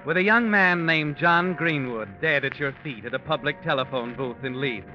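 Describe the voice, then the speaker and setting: An actor as a grave thriller narrator, telling the story in the second person like old radio drama. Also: male voice, on the high side, moderate pace, pushed and bright. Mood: grave, suspenseful. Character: grave thriller narrator addressing the killer directly. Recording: muffled old radio recording. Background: music.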